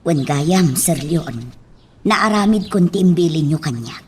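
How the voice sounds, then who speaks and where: A woman acting a gently firm, deferential household nanny in a radio drama. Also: sits low, lively intonation, measured pace. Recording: faint background noise.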